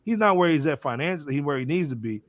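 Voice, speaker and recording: A man's voice: fairly high pitch, animated, fast talker. An American man hosting a music podcast with casual, slangy hip hop talk, repeating phrases for emphasis. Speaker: host of a music podcast panel.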